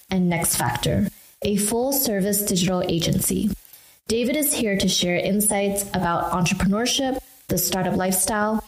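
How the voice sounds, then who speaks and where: Woman announcer, scripted intro, mid-pitched, smooth and even, roomy echo. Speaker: show announcer.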